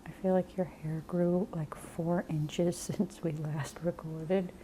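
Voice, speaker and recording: A woman hosting an ASMR chat in a soft half-whisper, sounding stuffy from a cold. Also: on the low side, steady tone, takes it slowly, slight background noise, low-quality recording. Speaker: ASMR channel host.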